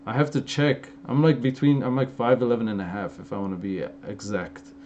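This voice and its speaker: A man's voice: mid-range voice, steady tone, fairly quick, a little mellow. A young man from Los Angeles with an Armenian-influenced American accent, answering live chat questions in a loose, rambling way with lots of fillers and restarts. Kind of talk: casual live-stream Q&A. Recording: slightly dull, lo-fi stream audio with faint noise.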